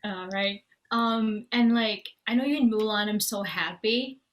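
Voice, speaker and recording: A woman hosting an interview, asking halting questions with frequent fillers. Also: medium pitch, fairly bright tone. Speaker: interviewer, host.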